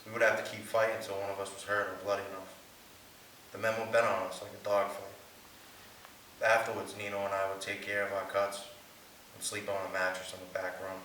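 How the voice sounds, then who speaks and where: A man's voice: sits low, little pitch movement, fairly quick, pushed and bright. A man giving a rehearsed dramatic reading of his life story as a stage monologue. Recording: very echoey room, distant mic, faint hiss.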